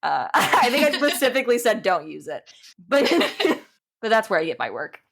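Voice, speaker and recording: A woman's voice: mid-range voice, very animated, normal speed, creaky, a bit bright. A woman chatting casually as an interview guest, informal and self-deprecating in the way she talks. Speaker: podcast guest, animator and dungeon master.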